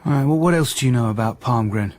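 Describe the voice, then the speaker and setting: A senior male detective played by an actor in a radio drama. His voice sits at a middling pitch, and he questions drily and briskly, always probing for more. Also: darkish tone, lightly acted. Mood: dry, probing. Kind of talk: naturalistic radio drama acting.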